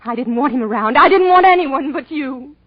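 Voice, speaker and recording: An actress playing an obsessive, possessive young wife in an intense, melodramatic 1940s radio drama style. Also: female voice, sits high, expressive, fairly quick. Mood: desperate. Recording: dull lo-fi 1940s radio recording, faint music.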